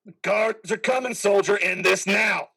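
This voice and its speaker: An actor playing a vengeful, commanding warrior with a harsh rasp in a play reading. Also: mid-range voice, moderate pace, sharp tone, full character voice. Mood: urgent, commanding. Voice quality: harsh, rasping. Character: vengeful, commanding soldier's voice urging killing. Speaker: amateur actor.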